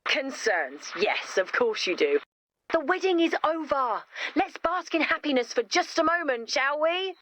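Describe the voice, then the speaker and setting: A woman voicing a chirpy, confident, quirky young builder in a video game, brisk and matter-of-fact. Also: high-pitched, big pitch swings, a bit bright, no room sound, full character voice. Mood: wry. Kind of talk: video game character dialogue.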